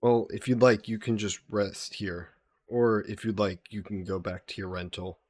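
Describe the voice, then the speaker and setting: A man playing a gentle, guilt-burdened vampire caretaker in an intimate audio roleplay, speaking politely and formally with some hesitation. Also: lowish voice, steady tone, moderate pace, no echo, clean studio sound, lightly acted. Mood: gentle, reassuring. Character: gentle, guilt-burdened male vampire employer caring for a guest.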